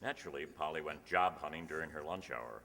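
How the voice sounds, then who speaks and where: Male announcer-narrator for vintage radio comedy: extremely low, punchy tone, hall reverb. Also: brisk, vocal fry, bright, forceful tone, a little hiss or hum. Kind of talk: old-time radio announcing, scripted narration. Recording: reverberant hall stage recording.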